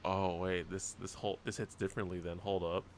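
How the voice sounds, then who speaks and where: A young man giving casual live gameplay commentary as a streamer, informal and halting as he thinks aloud. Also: sits low, flat delivery. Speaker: gaming streamer. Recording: noisy stream with game sound. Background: game ambience.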